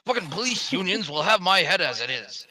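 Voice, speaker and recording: A man acting a gruff, bullying police captain in a screenplay table read, curt and commanding. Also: high voice, sing-song, brisk, bright, forceful tone, exaggerated voice. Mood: stern, irritated.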